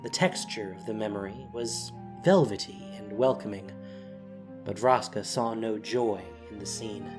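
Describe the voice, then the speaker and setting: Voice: medium pitch, unhurried, over music. A narrator reading a scripted fantasy story in a dramatic storytelling style.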